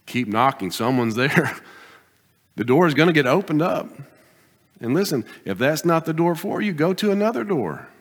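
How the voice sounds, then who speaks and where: A man preaching a sermon as pastor, pressing his points with rising bursts and then dropping to quiet, deliberate asides. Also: fairly high pitch, big pitch swings, slightly rough. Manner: emphatic preaching, swings between loud exhortation and quiet asides. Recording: long hall reverb.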